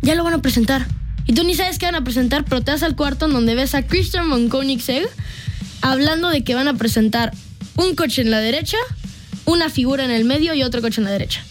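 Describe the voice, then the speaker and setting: High-voiced teenage girl. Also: a little fast, faint music behind. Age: teens. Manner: earnest, explaining. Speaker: guest presenting cars.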